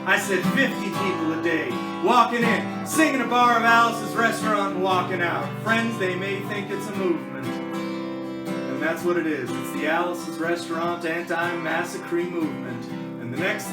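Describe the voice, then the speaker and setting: A woman's voice: lowish voice, normal speed. A woman performing a folk talking-blues monologue and leading a sing-along over acoustic guitar in a community theater radio show. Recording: roomy hall, distant, loud guitar.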